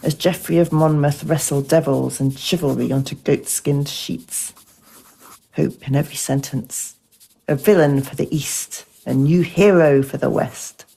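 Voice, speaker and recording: Gravelly, low-voiced woman reading poetically. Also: steady tone, warm tone, poor audio. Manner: measured, deliberate delivery. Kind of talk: scripted poetic narration in an audio piece.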